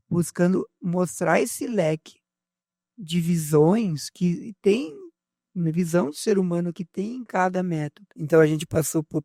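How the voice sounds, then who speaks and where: A man's voice: fairly high pitch, a little mellow, no room sound, studio quality. A man, co-hosting a podcast in casual conversation, hesitant, with many fillers and restarts. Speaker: podcast co-host.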